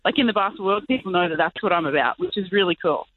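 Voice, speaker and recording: A woman's voice: fairly low pitch, animated, rapid-fire. Upbeat Australian woman on a tinny phone line. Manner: upbeat, talkative, many "like" and "you know" fillers. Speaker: guest, professional basketball player. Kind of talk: casual interview chat.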